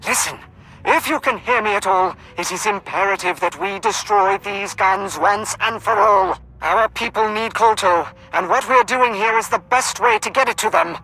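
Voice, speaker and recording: A man's voice: sits high, animated, moderate pace, vocal fry, sharp tone, soft background music, full character voice, rough recording. A man playing an urgent military colonel giving emphatic orders over comms in a video game.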